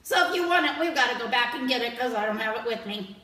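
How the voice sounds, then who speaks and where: A woman voicing a jittery, sly male monkey who talks in a squeaky, excited way and repeats his words. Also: quick pace. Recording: roomy, from far off.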